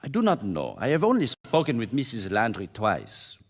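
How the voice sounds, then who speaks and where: A man's voice: medium pitch, huge pitch swings, moderate pace, husky, heavily acted. An actor playing a precise, earnest Frenchman in a radio mystery, with a French accent and careful, hesitant English. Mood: puzzled. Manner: formal, careful wording, hesitant searching for English words. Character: tidy, precise Frenchman, former soldier, earnest and puzzled. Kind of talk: radio mystery drama acting.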